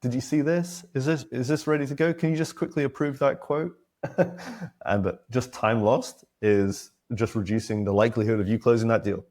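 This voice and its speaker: A man from Australia, a webinar guest, sounding croaky in the early morning, friendly and quick to laugh, speaking over a video call. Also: medium pitch, steady tone, a little mellow. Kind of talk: casual webinar chat.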